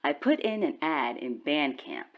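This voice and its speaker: Muffled phone voice, breezy excitable gal pal, lowish and lively, audio drama. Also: female voice, a little slow, full character voice. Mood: eager. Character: breezy, excitable woman best friend on the phone.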